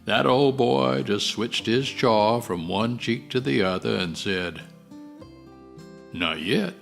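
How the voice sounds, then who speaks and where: An actor playing a folksy, good-humored mid-century Texas politician telling stories in a wry drawl. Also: male voice, mid-range voice, slow pace, gravelly, a bit bright, faint music behind. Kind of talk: audio theater monologue, folksy storytelling.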